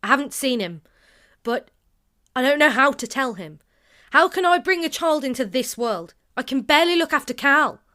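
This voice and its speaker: A high, youthful female survivor acted by a woman in an audio drama. She is hesitant and halting, yet frank and principled, with plenty of questions. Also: animated, pushed and bright. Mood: worried.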